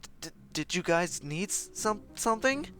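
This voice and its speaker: A man's voice: sits high, animated, normal speed, sharp tone, voice pushed up. A man voicing a timid, flustered female pegasus mail pony in a story reading, stammering and bashful, with music behind. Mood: nervous, trembling.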